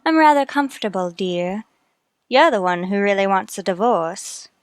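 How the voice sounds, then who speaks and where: An actress as a cold, mercenary wife. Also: female voice, medium pitch, lively intonation, moderate pace, clean tone, no room sound, clean studio sound, lightly acted. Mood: calculating. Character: cold, mercenary, embittered wife, coolly sardonic. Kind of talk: old radio mystery drama acting.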